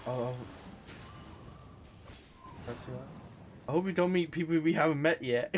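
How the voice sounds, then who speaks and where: A man giving casual let's play commentary, excitable and sweary, exclaiming at what happens in the game. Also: a little high, measured pace, a little hiss or hum. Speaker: gaming streamer playing and commenting.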